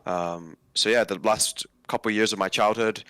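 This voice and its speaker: A young man in his twenties from Nigeria chats casually in an interview. His voice sits fairly low and is smooth and resonant, captured close and dry. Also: steady tone, normal speed, a bit bright, no echo. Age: 20s. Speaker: interview guest, novelist.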